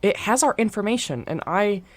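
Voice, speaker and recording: A woman's voice: mid-range voice, expressive, a little fast, close to an everyday voice. A woman playing a concerned, level-headed circus performer in a radio drama, earnest and reasoning. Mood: uneasy, earnest.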